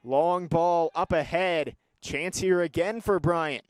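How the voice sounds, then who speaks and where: Higher-voiced young male sports play-by-play commentator. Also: clear, resonant tone, no room sound. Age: young adult. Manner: measured description rising to excited calls.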